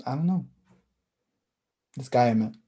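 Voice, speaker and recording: A woman's voice: very deep voice, animated, unhurried, mellow. A woman acting a mild, wistful, evasive young man in a staged play reading, in a casual, hesitant way.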